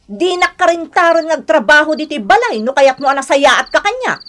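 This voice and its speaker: A woman acting a lazy, scolding young wife and harsh aunt in a radio drama, sharp and complaining. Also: sits high, very animated, fairly quick, a little hiss or hum. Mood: defiant, complaining.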